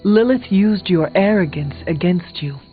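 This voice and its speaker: A solemn, high-pitched young hero. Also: male voice, expressive. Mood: stern, blunt. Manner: measured, formal, avoids contractions. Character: solemn, formal young male demon-hunting hero, earnest and blunt. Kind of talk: video game voice acting. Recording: thin phone-like game audio, music behind.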